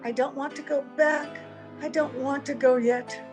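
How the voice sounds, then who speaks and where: A woman acts out a wistful, ageless young heroine of an old-time radio play. She is tender and earnest, with a fairly high, gentle voice. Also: fairly even intonation, moderate pace, music in the background, natural voice.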